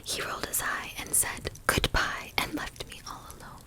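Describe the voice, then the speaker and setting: A woman speaking in a gentle, affectionate, breathy whisper as an ASMR host reading a children's story. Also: normal speed.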